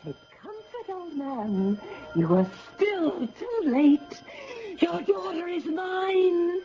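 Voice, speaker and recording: Shrill, gloating vampire countess. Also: female voice, very animated, takes it slowly, full character voice. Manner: theatrical, taunting, imperious. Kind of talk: radio drama acting. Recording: muffled old radio recording with music.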